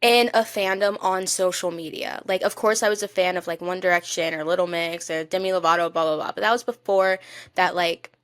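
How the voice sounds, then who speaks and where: A woman's voice: lowish voice, steady tone, fairly quick, fairly bright tone, smooth, no echo. Gushing young woman, close dry sound. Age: young adult. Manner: gushing, emphatic fan talk. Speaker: podcast co-host. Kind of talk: casual chat.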